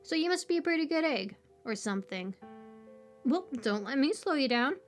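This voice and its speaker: A quirky young woman, played by a voice actress, chatty and high-pitched, in a casual audio roleplay over music. She rambles playfully with bubbly energy, like a friendly small-town local. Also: animated, normal speed. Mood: earnest, consoling, then playful, teasing.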